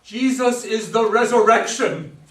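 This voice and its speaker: A solemn young man who believes he is God's son played by a man in a stage play. He speaks in earnest, preachy declarations with a high voice, picked up at a distance in a roomy space. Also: measured pace, a bit bright. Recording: echoey room, distant microphone.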